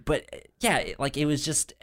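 A man's voice: a little high, expressive, normal speed. A man co-hosting a casual film discussion, stuttering often as he repeats sounds and syllables. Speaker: podcast co-host.

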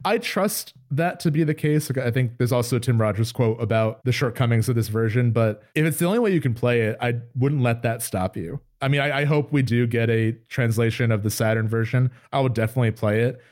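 A man's voice: mid-range voice, fairly quick, hardly any pauses, darkish tone. A man co-hosting a podcast, discussing video games in a conversational, enthusiastic way. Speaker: podcast co-host. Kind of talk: casual video game discussion.

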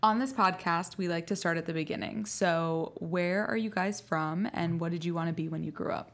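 Woman, podcast host, lower-pitched voice, casual interview style. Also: steady tone, moderate pace, fairly bright tone.

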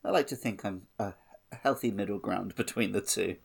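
A man's voice: fairly low pitch, normal speed, vocal fry, a little hiss or hum. A man chatting casually and wryly as a gaming podcast co-host.

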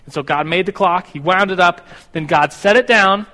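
A man's voice: high voice, moderate pace, pushed and bright, slight background noise. A man in his thirties teaching a class about God in a loose, rambling way, correcting himself as he goes, in a classroom with a board. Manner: rambling, self-correcting, stumbles over words. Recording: long hall reverb.

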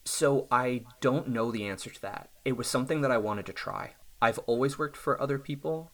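A man in his thirties, a self-employed software developer, talking casually and thoughtfully about his work, often restarting his sentences. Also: mid-range voice, normal speed. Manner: thoughtful, reflective, with restarts and small laughs. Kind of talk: casual podcast conversation.

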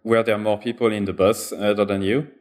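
A man with a fairly low voice hosts a casual interview, asking friendly, curious questions. He nudges the guest along with short prompts and follow-ups. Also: little pitch movement, brisk.

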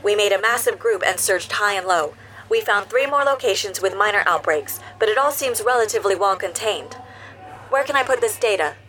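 Earnest, measured robot. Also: female voice, mid-range voice, animated, sharp tone, soft background music, low-quality recording. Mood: matter-of-fact, helpful. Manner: measured, precise, formal phrasing. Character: earnest, polite decommissioned public health robot, loyal. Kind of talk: audio drama acting.

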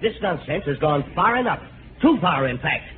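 Tinny vintage radio sound, blustering American dad, middle-aged, high-pitched, exasperated, emphatic, sitcom acting. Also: male voice, big pitch swings, normal speed. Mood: indignant. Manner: emphatic, indignant. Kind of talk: 1940s radio comedy acting. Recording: thin, faintly noisy old radio recording.

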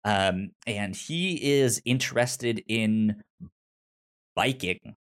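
A man with a pronounced stutter, casually pitching films as a podcast host in a halting, informal way. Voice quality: pronounced stutter with repeated initial sounds. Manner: halting, informal, hesitant phrasing.

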